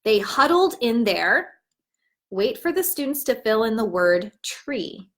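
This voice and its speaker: A woman teaching in an instructive, expressive way, as if demonstrating how to read a storybook aloud. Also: on the high side, measured pace. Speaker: teacher trainer.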